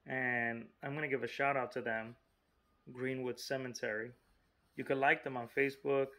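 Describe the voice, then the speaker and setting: Guy talking casually to his viewers, lots of "you know" and "look", normal middle pitch. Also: little pitch movement, normal speed, pushed and bright. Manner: chatty and informal, lots of fillers and asides. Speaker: vlogger. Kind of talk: casual vlog narration.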